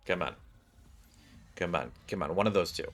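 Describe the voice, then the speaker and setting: A man's voice: sits low, moderate pace. A man giving casual, rambling gameplay commentary as a streamer, half muttering to himself and his viewers. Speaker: game streamer. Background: game music.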